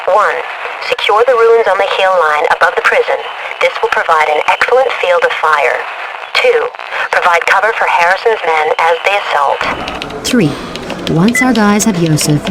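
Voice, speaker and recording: A woman's voice: fairly low pitch, moderate pace, noisy background, natural voice. A woman playing a businesslike, dry-witted mission controller briefing an agent in a video game cutscene. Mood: brisk, businesslike. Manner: businesslike, clipped, wry.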